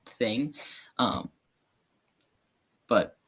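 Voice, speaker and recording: A man's voice: medium pitch, extremely slow, a bit bright, breathy edge. A young man in casual podcast conversation, talking in a chatty, rambling way full of fillers. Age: young adult. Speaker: guest actor.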